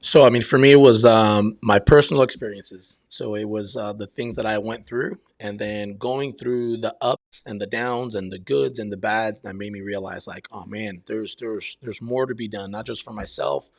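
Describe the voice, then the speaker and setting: Gravelly-edged man chatting in a close, dry recording. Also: lowish voice, steady tone, moderate pace, few pauses, no echo. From Brazil and Miami, United States. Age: 50s. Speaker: podcast guest, military veteran and realtor. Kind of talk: casual conversational interview answers.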